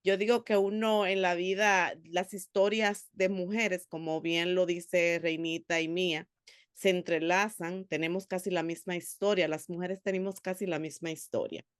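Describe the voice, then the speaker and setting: A woman from the Republic, giving an earnest, animated personal testimony as a theologian on a panel, with frequent hesitations. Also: on the low side, moderate pace, smooth, dry recording, studio quality. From Dominican Republic.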